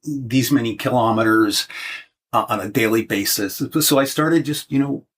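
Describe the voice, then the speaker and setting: A man's voice: mid-range voice, normal speed, fairly bright tone. A man of seventy from the United States, talking as a guest in a casual interview, hesitant and full of restarts. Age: 70s. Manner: hesitant, many restarts and fillers.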